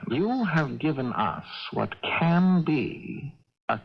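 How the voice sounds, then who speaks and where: An actor playing an evasive, condescending government official, measured and bureaucratic, in a 1980s radio drama on a noisy old recording. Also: male voice, fairly high pitch, lively intonation, vocal fry, lo-fi sound, exaggerated voice. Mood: smugly polite.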